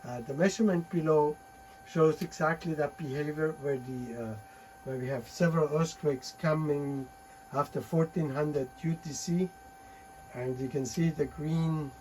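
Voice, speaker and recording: A man giving a technical conference talk from his slides, halting often with uh and restarting words. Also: a little high, steady tone, a little mellow, slight background noise, rough recording. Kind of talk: technical presentation, reading from slides.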